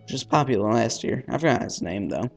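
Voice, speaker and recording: A man's voice: medium pitch, animated, a little fast, creaky, soft background music. A man hosting a let's-play, reading a visual novel aloud with casual asides and the odd stumble over words.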